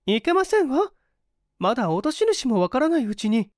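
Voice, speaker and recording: A woman's voice: high-pitched, very animated, moderate pace, darkish tone, dry recording, clean studio sound, voice pushed up. A woman voicing a polite, principled, hungry young woman with emphatic, old-fashioned feminine speech in a dramatised story reading. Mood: firm, protesting.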